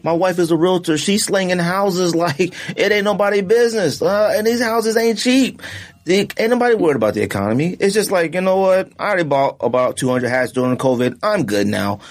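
A man co-hosting a podcast in casual chat, arguing in an animated, slangy way. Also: high-pitched, brisk. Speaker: podcast co-host.

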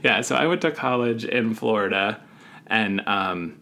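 Gravelly-voiced man, reflective podcast co-host. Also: fairly low pitch, unhurried, pushed and bright. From US Deep South. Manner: reflective, laughs often. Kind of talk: casual chat. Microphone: video call.

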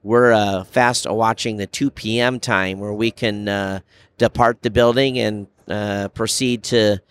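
A man hosting a podcast in a casual, chatty style, with halting phrasing. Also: fairly low pitch, fairly even intonation, a bit bright, clear, resonant tone, no echo. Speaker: podcast host. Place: booth in a very large trade show hall. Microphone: podcast microphone.

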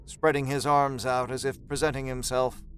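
A man's voice: mid-range voice, little pitch movement, moderate pace, fairly bright tone, a little hiss or hum. A man narrating a scripted horror story in a dramatic storytelling style.

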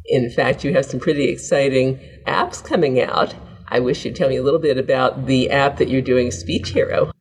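A man's voice: medium pitch, normal speed, breathy edge, low-quality recording. A male podcast host from southern California asking a researcher questions with enthusiasm. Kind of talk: interview questions.

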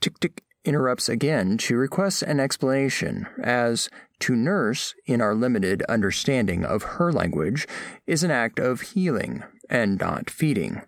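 A man's voice: mid-range voice, sing-song, a little slow, gravelly, no echo, clean studio sound, exaggerated voice. A man playing a studious alien scholar who narrates a diplomatic report with formal, precise diction in an audio drama. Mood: puzzled, formal. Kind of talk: scripted first-person narration, audio fiction.

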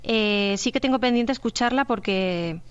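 A woman's voice: a little high, moderate pace, clean tone, dull audio. A woman from Spain with a Spanish accent, a radio drama director answering casually in an interview.

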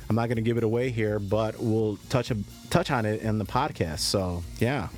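A man hosting a podcast, chatting casually and haltingly with lots of fillers. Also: fairly low pitch, darkish tone, soft background music. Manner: friendly, halting, many fillers. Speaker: podcast host. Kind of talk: casual interview chat.

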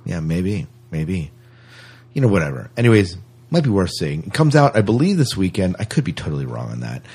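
A man with a very deep, husky voice hosts a casual podcast. He talks through the news in a conversational manner, rambling a little and hesitating as he goes. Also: big pitch swings, brisk, darkish tone. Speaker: podcast co-host.

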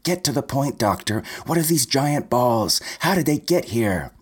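A man's voice: mid-range voice, animated, normal speed, breathy edge, pitched above the performer's own voice. A man voicing a panicked, bossy woman neighbor who shrieks and demands answers. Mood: impatient. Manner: shrieking, impatient.

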